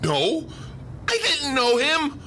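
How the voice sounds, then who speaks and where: A man's voice: very high-pitched, wildly swooping pitch, takes it slowly, bright, forceful tone, a little hiss or hum, exaggerated voice. A man playing a frightened killer caught red-handed in a radio play, stammering and melodramatic. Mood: anxious denial.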